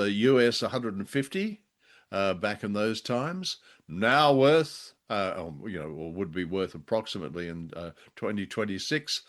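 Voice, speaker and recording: A man co-hosting a podcast, reviewing radio drama in a halting, measured way with dry understatement. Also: lowish voice, a bit bright. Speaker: podcast co-host.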